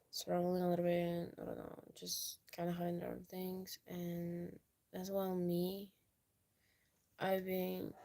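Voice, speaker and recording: Young woman, low creaky voice for a rambling chat vlog. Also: darkish tone. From Peru. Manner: meandering, lots of fillers. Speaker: YouTuber.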